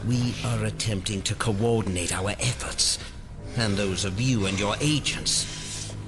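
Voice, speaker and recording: A man's voice: on the low side, unhurried, close to an everyday voice. An actor playing a composed, formal man briefing a captive in an audio drama. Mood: controlled. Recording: music behind.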